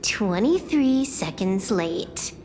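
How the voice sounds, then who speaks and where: A woman's voice: medium pitch, expressive, slow, a little mellow, slight background noise. An actress voicing a cocky, laid-back young female hacker in a video game, teasing and nonchalant. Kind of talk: video game story dialogue. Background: music.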